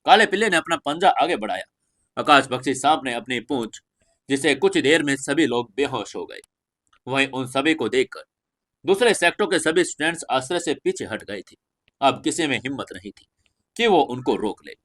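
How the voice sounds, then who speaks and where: A man's voice: medium pitch, animated, normal speed, a bit bright. A man reading a scripted fantasy audio story as narrator, with emphatic, dramatic storytelling delivery.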